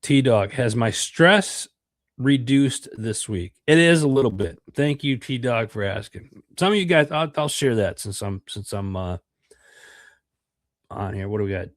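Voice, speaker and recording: A man's voice: medium pitch, lively intonation, a little slow, dry recording, studio quality. A middle-aged American man with a congested voice, hosting a podcast livestream in a rambling chat with many fillers and repeated words. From United States. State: congested from a cold. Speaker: podcast host.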